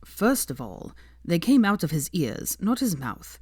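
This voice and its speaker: A woman's voice: lowish voice, very animated, a little slow, darkish tone, husky, dry recording, heavily acted. A woman voicing a haughty, proud young wizard man in an audiobook, with a dry drawl. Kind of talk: audiobook character voice.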